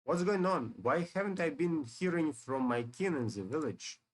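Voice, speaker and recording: A man's voice: fairly high pitch, expressive, normal speed, voice pushed up. A man reading out the lines of earnest fantasy game heroes in a solemn, declamatory way. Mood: questioning. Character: earnest fantasy heroes returning to a ruined dragon village.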